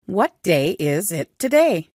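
A woman with a low voice repeats short practice phrases, each one slowly and carefully. Her pitch rises and falls a lot. The recording is dry and close. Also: very animated, darkish tone, no echo. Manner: slow, careful phrase repetition. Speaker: English teacher. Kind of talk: repeating phrases for language learners.

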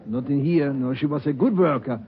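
A man's voice: mid-range voice, brisk, natural voice. Kindly Dutch restaurant owner , played by a man, talkative and repetitive, dull 1940s radio sound. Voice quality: warm, chatty, repeats himself. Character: kindly male restaurant owner, fond of staff.

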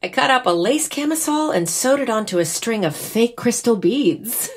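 A wry, wistful young American bride. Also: female voice, on the high side, lively intonation, normal speed. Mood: wry, chatty. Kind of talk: naturalistic audio drama acting.

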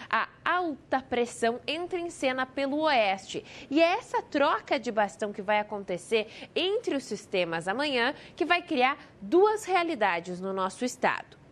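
High-voiced Brazilian woman forecasting weather. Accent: Brazilian Portuguese. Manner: lively, conversational explaining. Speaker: weather presenter. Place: TV studio.

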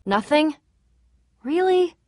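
A woman's voice: sits high, lively intonation, slow pace, darkish tone, no room sound, studio quality. An actress playing a tough, guarded young female adventurer in a video game, casual, candid and dryly sarcastic. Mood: wary, surprised. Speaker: game voice actor. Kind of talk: video game character dialogue.

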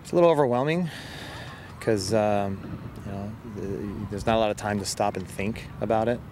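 A middle-aged man, a soccer coach, giving a post-match interview in a halting, measured way with repeated words, outdoors on a field. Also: fairly low pitch. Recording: outdoor field recording with loud ambient noise.

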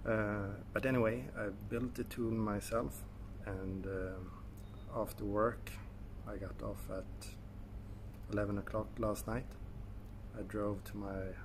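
A man in his thirties with a Swedish accent, talking casually to a phone camera in a garage, with many pauses and fillers. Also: on the low side, fairly even intonation, background noise. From Sweden. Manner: halting, many fillers and self-corrections. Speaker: car hobbyist vlogger. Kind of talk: casual selfie vlog. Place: indoor garage. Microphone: phone held at arm's length.

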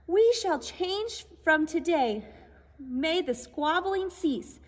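A woman voicing a male squirrel who hoards food, speaking in high, eager exclamations. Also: animated, slow pace, in a large hall, full character voice. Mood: sheepish, cheerful.

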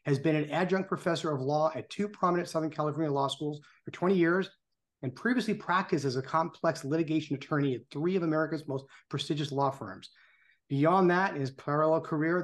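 A man in his fifties hosting a webcast, talking in an emphatic, plain-spoken way on a video call. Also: on the high side. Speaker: show host. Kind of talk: opinion talk and guest introduction on a video webcast. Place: small indoor room on a video call.

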